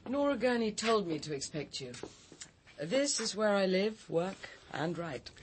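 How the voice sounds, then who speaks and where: A woman's voice: medium pitch, very animated, measured pace, slight background noise. An actress playing a poised, sociable countrywoman in a radio drama, speaking politely and articulately with slight hesitations in a British accent. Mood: courteous. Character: poised, sociable, frank countrywoman, a writer and cook.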